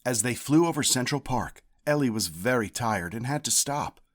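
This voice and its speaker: A man narrates a children's picture book. His delivery is deliberate and expressive, and his pitch moves around freely as he tells the tale. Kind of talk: scripted read-aloud narration.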